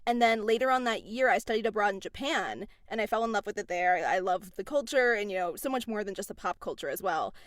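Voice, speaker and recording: A woman, a voice actress answering interview questions in an animated, chatty way. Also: mid-range voice, fast, few pauses, no echo, studio quality. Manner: chatty, animated, laughs between phrases. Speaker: voice actress guest.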